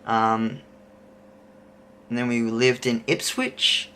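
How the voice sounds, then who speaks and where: A man's voice: medium pitch, little pitch movement, slow, bright, forceful tone, a little hiss or hum. A man in his thirties with an Australian accent, chatting casually to camera and thinking aloud as he rambles. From Queensland, Australia. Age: 30s. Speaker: YouTuber host.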